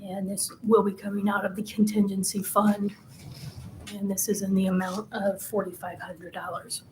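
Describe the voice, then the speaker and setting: A woman's voice: mid-range voice, flat delivery, normal speed. A woman formally presenting agenda items as a staff member at a council meeting. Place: indoor council meeting room. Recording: faint background noise.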